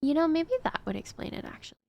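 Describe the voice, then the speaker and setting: A woman's voice: sits high, animated, fast talker, vocal fry, no echo, dull audio. A woman streaming a game, chatting casually to her viewers in a rambling way with frequent fillers. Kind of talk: casual chat while gaming.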